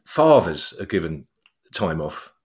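A man's voice: low voice, sing-song, takes it slowly, a little mellow. A man co-hosting a casual football podcast, with a wry, self-deprecating sense of humour. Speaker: podcast co-host.

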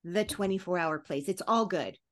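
A woman's voice: lowish voice, moderate pace. A woman hosting an interview podcast, introducing things in an enthusiastic, effusive manner. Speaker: podcast host.

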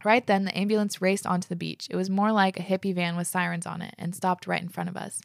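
A woman's voice: on the low side, little pitch movement, quick pace, gravelly, no echo, clean studio sound. A young woman hosting a podcast, reading listener stories aloud and reacting to them casually, with frequent "like" fillers and the odd swear word. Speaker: podcast host.

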